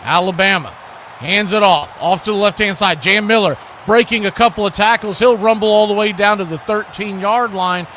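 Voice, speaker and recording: An American man calling live football play-by-play in excitable, clipped phrases. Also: high voice, moderate pace, a little hiss or hum. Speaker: play-by-play announcer.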